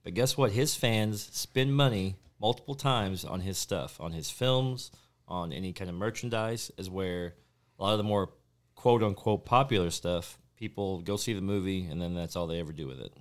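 A man in his thirties on a friends' podcast, making dry, deadpan jokes and pressing his argument insistently. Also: low-pitched, normal speed. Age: 30s. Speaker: podcast co-host. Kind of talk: casual chat among friends.

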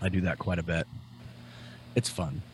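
A man's voice: sits low, steady tone, normal speed, a little hiss or hum. A man co-hosting a podcast over a video call, teasing and upbeat. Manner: teasing, upbeat, calls people dude. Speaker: podcast co-host. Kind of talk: casual chat. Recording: music under the voice. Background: music.

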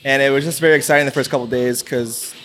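A man's voice: mid-range voice, fairly even intonation, fast talker, a bit bright, soft background music. A man working at an ice cream shop, answering interview questions casually in a kitchen. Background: kitchen background noise.

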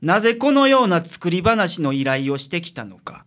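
A man's voice: sits high, sing-song, moderate pace, fairly bright tone. A man playing a shrewd, blunt, laid-back male private detective with dry, probing delivery in a radio drama.

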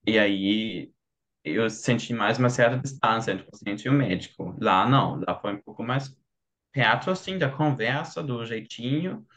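A young German man with a German accent, a podcast guest talking casually in his second language and often pausing to search for words. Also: on the low side, expressive, a bit bright. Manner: searches for words, many "tipo" fillers. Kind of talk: casual conversation.

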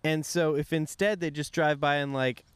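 A young man co-hosting a podcast, wry and deadpan in casual banter. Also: fairly high pitch, normal speed, clear, resonant tone, dry recording. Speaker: podcast co-host.

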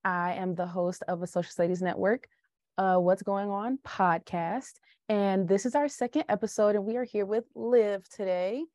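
A woman's voice: mid-range voice, fairly even intonation, normal speed, darkish tone, no room sound, studio quality. A young woman hosting a podcast, talking in a chatty, informal conversational interview style. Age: young adult. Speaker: podcast host.

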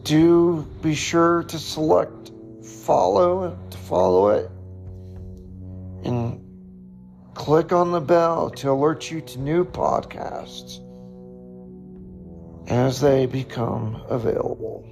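A hoarse man talks through a solo podcast in a raspy, heavily gravelly voice. He pauses often and speaks slowly, and his pitch sits fairly high and moves around a lot. Faint music plays behind him. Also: animated, a little mellow. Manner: slow, halting, pausing to reflect. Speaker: podcast host. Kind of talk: solo reflective podcast monologue.

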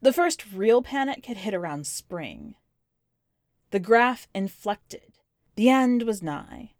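A woman narrating literary fiction from a script, with measured, deliberate phrasing in short clipped sentences. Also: medium pitch, expressive, a little mellow, dry recording, clean studio sound. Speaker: podcast host reading a short story.

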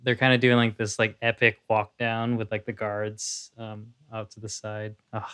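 A man with a deep, smooth, resonant voice talks eagerly and loosely. The sound is dry and close, with no room around it. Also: monotone, normal speed, fairly bright tone, dry recording. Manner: enthusiastic, chatty, frequent fillers. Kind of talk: casual reaction chat.

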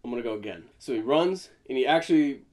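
A man's voice: medium pitch, expressive, fast talker, a little mellow. A young man co-hosting a casual podcast, joking around with his friend, often stammering and restarting his sentences. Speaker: podcast co-host. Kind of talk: casual banter.

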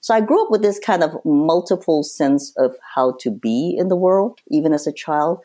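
An older woman who grew up in Hong Kong, a writer speaking as a guest in a relaxed interview, reflective and quick to laugh. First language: Cantonese and English both spoken from childhood. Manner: reflective, laughs easily, pitch jumps when animated.

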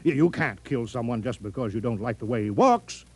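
An actor in a 1950s radio comedy playing a folksy, pushy mayor of a small space colony, earnest and cajoling. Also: male voice, mid-range voice, expressive, a little fast, a little mellow, slightly rough, no echo. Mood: urgent, cajoling.

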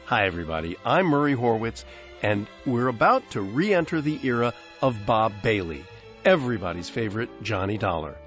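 A man's voice: on the low side, big pitch swings, normal speed, a bit bright, faint music behind. An American man hosting a radio show, reading a scripted introduction to vintage programs. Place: dry broadcast studio.

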